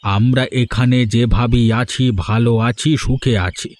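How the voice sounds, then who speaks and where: A man voicing a dignified, wronged village leader, solemn and measured, in a melodramatic cartoon. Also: mid-range voice, darkish tone, no room sound, close to an everyday voice. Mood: wary, wounded. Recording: music behind the voice.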